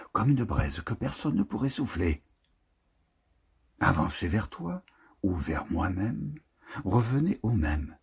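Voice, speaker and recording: Slow, husky man reciting poetry. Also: on the low side, a little mellow. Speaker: reader of a prose poem. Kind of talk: literary poetry reading.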